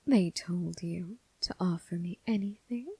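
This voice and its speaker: A woman playing a commanding, teasing, coolly amused mob boss in an ASMR roleplay, husky and breathy, speaking with deliberate, condescending pauses. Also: mellow, dry recording, heavily acted. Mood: teasing, seductive. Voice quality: husky, breathy, low, creaky. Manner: slow, deliberate, purring condescension with drawn-out pauses. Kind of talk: ASMR roleplay, scripted character acting.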